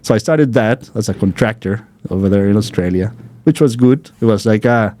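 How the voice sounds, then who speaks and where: A man from Colombia with a Colombian Spanish accent, a podcast guest who talks in a relaxed way, hesitant, with many fillers. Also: on the low side, normal speed. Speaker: guest, general manager. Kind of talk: casual interview.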